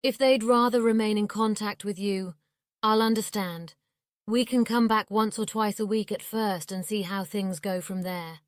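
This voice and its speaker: Casting: actress as headstrong young fantasy oracle, clear medium-pitched voice, assertive, earnest. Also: female voice, fairly even intonation, normal speed, dry recording, studio quality, natural voice. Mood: determined, conciliatory. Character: determined young female oracle yearning for freedom. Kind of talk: audiobook drama acting.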